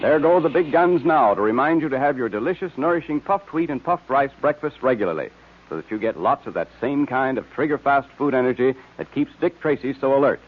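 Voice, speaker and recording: A man's voice: mid-range voice, animated, brisk. A man announcing and selling in an old radio style, emphatic and salesman-like, as if addressing children. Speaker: announcer and sponsor pitchman. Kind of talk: 1930s-40s radio announcing and advertising. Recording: muffled vintage radio broadcast recording.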